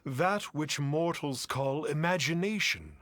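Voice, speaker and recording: An actor playing a pompous, smooth-talking self-styled sage in a video game, speaking in grandiose archaic phrases. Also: male voice, a little high, lively intonation, takes it slowly, a bit bright, dry recording. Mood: grandiose, patient. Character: pompous, smooth-talking man posing as a lofty mountain sage. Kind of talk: scripted video game dialogue.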